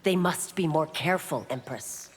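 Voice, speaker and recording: An actress playing a stern, resolute law officer and warrior in a video game, with a firm, formal manner. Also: female voice, low voice, normal speed, natural voice. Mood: formal, dutiful. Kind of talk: video game heroine acting. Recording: reverberant arena with clear background noise.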